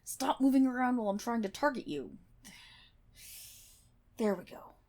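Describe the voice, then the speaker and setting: A woman's voice: on the high side, sing-song, moderate pace, a little mellow. A woman playing a prickly, sarcastic guild healer in an online game, scolding and swearing one-on-one in an ASMR roleplay. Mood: irritated, scornful. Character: brash, prickly tsundere MMO guild healer, a woman gamer.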